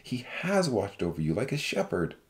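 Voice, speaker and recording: A man acts a gentle, devout believer in a Bible story audiobook. The voice is low and earnest, with lively movement in pitch. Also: moderate pace. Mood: reflective, solemn. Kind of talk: dramatized audiobook dialogue.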